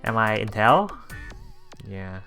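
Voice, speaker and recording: A man doing a let's play, reading story narration aloud with casual commentary, halting and chuckling as he goes, with game music behind him. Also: on the low side, animated, bright, forceful tone. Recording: voice over game background music.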